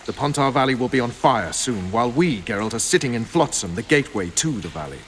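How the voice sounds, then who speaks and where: An actor playing a gruff, cynical military commander in a video game, blunt and sardonic. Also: male voice, mid-range voice, lively intonation, fast, slight background noise, natural voice. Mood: grim, wry. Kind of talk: video game cutscene acting. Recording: faint rain and ambience behind.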